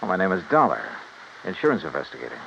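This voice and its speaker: A man playing a tough, brisk insurance investigator in a 1950s radio detective drama, clipped and probing. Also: low-pitched, wildly swooping pitch, gravelly, noisy background, full character voice. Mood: probing, businesslike. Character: tough, brisk male insurance investigator narrating his case. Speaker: detective lead and narrator. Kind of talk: 1950s radio detective acting, hardboiled narration. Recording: muffled old radio broadcast with music.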